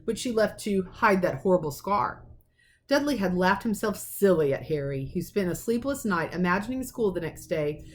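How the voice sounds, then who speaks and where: A woman reading a children's novel aloud as a teacher would, in an expressive storytelling manner. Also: on the low side, slight background noise. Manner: animated, acts out dialogue.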